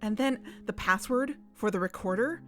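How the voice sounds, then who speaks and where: An actress playing a cautious young woman investigator who speaks hesitantly and softly in an audio drama. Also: medium pitch, faint music behind, natural voice. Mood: accusing. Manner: hesitant, soft, halting.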